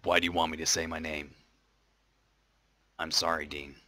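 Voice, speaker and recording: A man playing a solemn, stiff angel from a TV fantasy, with a gravelly, deadpan delivery. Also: a bass voice, moderate pace, no echo, voice pushed down, exaggerated voice. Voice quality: gravelly growl. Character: solemn, stiff angel in human male form.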